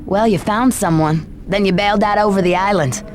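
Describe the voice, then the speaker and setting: A woman's voice: medium pitch, fairly quick, close to an everyday voice. An actress playing a sardonic, probing female interrogator in a video game, with a dry and pointed delivery. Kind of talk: video game cutscene dialogue. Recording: music behind the voice.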